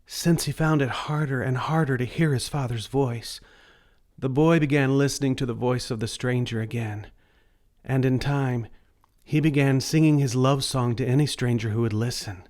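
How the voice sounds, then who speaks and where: A man narrating a scripted children's story in a deliberate read-aloud manner. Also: mid-range voice, a little mellow.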